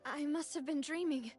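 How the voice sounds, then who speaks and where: A woman playing an earnest, grieving young noblewoman in a video game, with a wistful manner. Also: high voice. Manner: sighing, wistful, unhurried. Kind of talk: video game character dialogue. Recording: music playing behind the voice.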